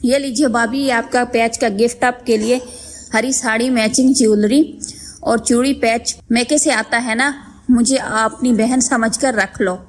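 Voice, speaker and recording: A woman playing a kind, meek young daughter-in-law who is eager to please, speaking gently and politely in an animated story. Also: fairly high pitch, measured pace, low-quality recording. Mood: affectionate. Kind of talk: Hindi animated moral story dialogue.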